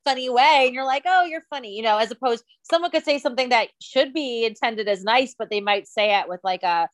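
Woman, higher-pitched, talkative co-host; casual, filler-heavy, easy laugh. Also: brisk. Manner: chatty, lots of fillers, laughs easily. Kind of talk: casual chat.

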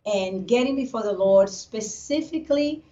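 A woman's voice: medium pitch, expressive, dark tone. A woman from Puerto Rico, a pastor's wife, talking earnestly about her faith as a guest in a casual interview. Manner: earnest, faith-filled, speaks slowly with restarts. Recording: echoey, distant, dull remote audio.

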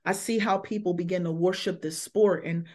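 A woman in her fifties, a minister and former coach, telling her life story in a lively interview and acting out what people said to her. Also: fairly low pitch, normal speed. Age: 50s. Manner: lively, acts out quoted dialogue.

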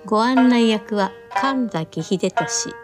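A woman's voice: a little high, expressive, takes it slowly. A woman reading scripted titles and credits as the announcer of a horror radio drama series. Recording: music under the voice.

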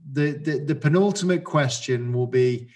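A man's voice: on the high side, normal speed, a little mellow. A man hosting a podcast interview, asking questions while stumbling and repeating words. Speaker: podcast host. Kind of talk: casual interview.

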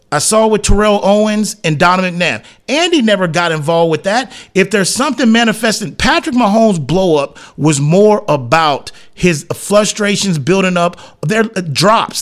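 A man with a notably high-pitched voice delivers an opinionated sports commentary monologue. He is blunt and emphatic, often repeating a phrase to drive it home. His wording is colloquial, full of slang and double negatives. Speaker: sports talk show host.

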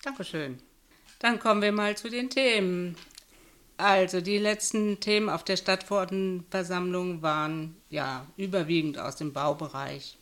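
A woman's voice: fairly low pitch, fairly even intonation, normal speed, fairly bright tone. A woman hosting a local politics podcast, introducing her guests and asking them questions in a friendly, structured way. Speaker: podcast host.

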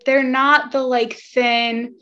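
A woman's voice: high-pitched, steady tone, slow pace, pushed and bright. A woman hosting a podcast, chatting casually and filling her talk with "like" and "you know". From United States. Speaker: podcast host. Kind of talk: casual chat. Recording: slightly dull lo-fi audio.

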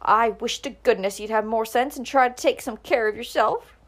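A woman's voice: a little high, normal speed, a bit bright, voice pushed up. A woman voicing a fussy, scolding older country mother who exclaims in rustic dialect. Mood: alarmed scolding.

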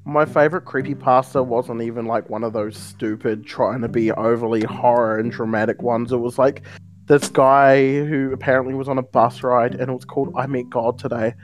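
A young man chatting loosely on a podcast, trailing off into fillers and laughing often. His pitch sits mid-range, with faint music in the background. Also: steady tone, moderate pace. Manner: rambling, with lots of laughter and fillers. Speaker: podcast co-host. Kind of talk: casual joking chat.

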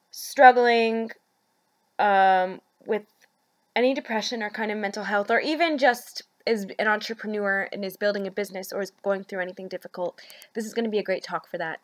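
A woman's voice: medium pitch, no room sound. A woman hosting a podcast, chatty and upbeat with frequent fillers, introducing her guest. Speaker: podcast host. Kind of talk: casual podcast interview.

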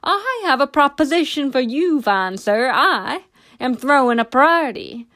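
A woman voicing a drunken, overly polite man with a slow, pompous drawl in a read-aloud story. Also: sits high, big pitch swings, a bit bright, dry recording, clean studio sound, voice pushed up, full character voice. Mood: jovial. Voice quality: slow drawl, slurred pomp.

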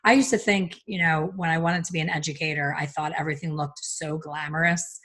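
A woman hosting an interview, talking fast and chatting with enthusiasm. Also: deep voice, steady tone, fairly bright tone. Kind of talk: conversational interview.

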